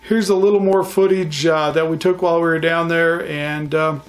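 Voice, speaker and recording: Male vlogger, slightly high voice, easygoing and folksy, chatting plainly through a casual recap for viewers. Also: steady tone, normal speed. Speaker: ham radio vlogger.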